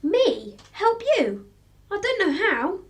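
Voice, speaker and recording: Woman acting a high-pitched, blunt young schoolboy. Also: big pitch swings, slow, exaggerated voice. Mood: eager. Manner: blunt, childlike. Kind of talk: radio drama acting.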